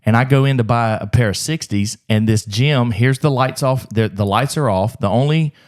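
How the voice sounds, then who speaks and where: A man in a podcast chat, telling the tale of his early business in a brisk, rambling way. His voice sits at an average pitch, and he throws in a curse now and then as he goes. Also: a little mellow. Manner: fast, rambling, swears freely. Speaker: gym owner, podcast host. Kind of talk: casual podcast storytelling.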